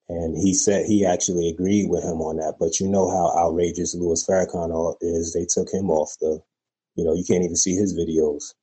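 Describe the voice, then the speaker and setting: A man's voice: deep voice, warm tone, breathy edge, low-quality recording. A man calling in to a talk show, speaking casually and rambling with many fillers. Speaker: caller.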